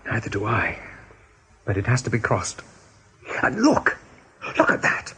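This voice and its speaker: An actor playing a brave, steady rabbit leader in a radio play, decisive and plain-spoken. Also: male voice, on the low side, unhurried, husky, heavily acted. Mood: rallying.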